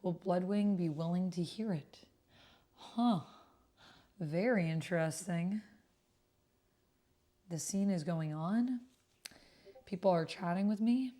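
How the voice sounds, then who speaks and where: A woman with a slightly low voice hosts a casual livestream. She moves between reading messages and prompts aloud and commenting on them in a chatty, conversational way. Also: slow pace, long pauses, dark tone. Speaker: livestream host and tabletop roleplay player.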